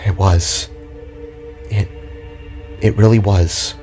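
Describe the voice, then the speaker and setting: A man voicing a sorrowful last-surviving metal warrior in a story, with a halting, hesitant delivery. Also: deep voice, fairly even intonation, vocal fry, darkish tone, heavily acted. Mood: grieving. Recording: background music behind the voice.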